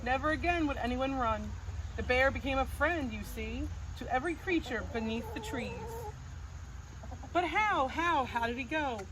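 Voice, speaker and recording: A woman reading a rhyming picture book aloud in a gentle sing-song cadence, recorded outdoors on a farm. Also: sits high, moderate pace, a crowd in the background. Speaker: storybook reader. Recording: noisy outdoor recording with crickets.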